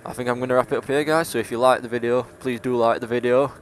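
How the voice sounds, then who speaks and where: A man's voice: mid-range voice, steady tone, fast. A young man in his late teens from England with a broad Yorkshire accent, chatting casually as he vlogs from his scooter outdoors. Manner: chatty, laid-back, laughs now and then. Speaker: motovlogger. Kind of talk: casual vlog chat while riding. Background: faint engine and traffic noise.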